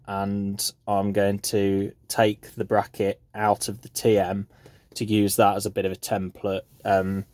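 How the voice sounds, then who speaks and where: Man with a flat, lowish voice, explaining casually. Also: no echo. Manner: informal, matter-of-fact explaining. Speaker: farm vlogger showing a DIY installation. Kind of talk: casual hands-on how-to vlog. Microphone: handheld phone.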